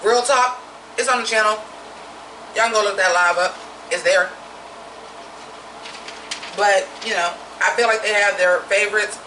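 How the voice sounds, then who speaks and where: A woman with a naturally deep voice giving a blunt, profane, opinionated drag review in a casual vlog. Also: fairly even intonation, unhurried, pauses often, pushed and bright, reverberant, far from the mic, slight background noise, poor audio.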